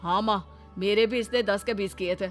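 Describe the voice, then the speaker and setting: An actor voicing an easygoing, gullible husband in a Hindi moral-story cartoon, excitable and admiring. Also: medium pitch, moderate pace, faint music behind, lightly acted. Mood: pleased.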